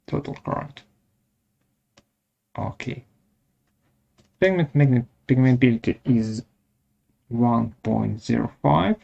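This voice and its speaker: Creaky-voiced man giving slow software instructions. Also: medium pitch, lo-fi sound. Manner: halting, short instructional phrases. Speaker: webinar presenter, software instructor. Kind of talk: step-by-step software tutorial narration.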